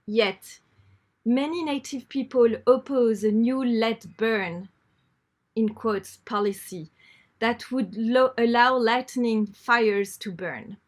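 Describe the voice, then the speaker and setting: A woman reading an article aloud in a measured, unrehearsed way, repeating phrases when she stumbles. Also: a little high. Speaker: reader.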